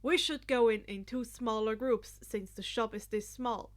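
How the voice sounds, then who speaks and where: A woman's voice: on the high side, fairly even intonation, normal speed, close to an everyday voice. A woman voicing an earnest, orderly teenage boy who gives formal instructions in a story reading. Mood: instructing.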